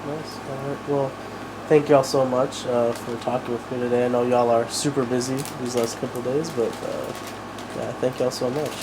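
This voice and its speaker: A man's voice: mid-range voice, moderate pace, background noise. A man interviewing a music group, asking loose questions full of "like" and "y'all". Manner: relaxed, with lots of "like" and "y'all".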